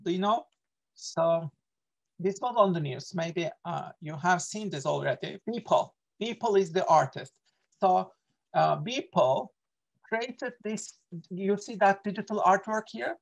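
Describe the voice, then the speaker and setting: A middle-aged man with a Turkish accent leads an online workshop over a video call. He talks in an earnest, lecturing way. He often stops to fill pauses and correct himself. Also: high voice, vocal fry. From Turkey. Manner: earnest, many fillers and self-corrections. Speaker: workshop presenter, associate professor.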